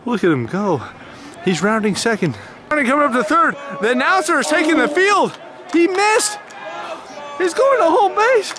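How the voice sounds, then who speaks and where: A young man vlogging with friends in an empty stadium at night, excitable and yelling often. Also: extremely high pitch, moderate pace, a crowd in the background. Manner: excitable, yells and laughs a lot. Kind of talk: excited group vlog.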